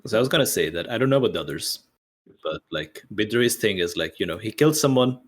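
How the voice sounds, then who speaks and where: A man's voice: fairly low pitch, animated, fast. A man talking casually as a player in a tabletop roleplaying game, informal and agreeable as he reasons about his character.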